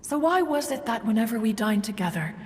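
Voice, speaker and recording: A woman's voice: a little high, lively intonation, a little fast, darkish tone, natural voice. A woman playing a proud, wistful ancient female immortal in a game, speaking in formal, archaic, pompous phrases. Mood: nostalgic. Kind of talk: scripted game dialogue, storytelling. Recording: long hall reverb, music behind. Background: music.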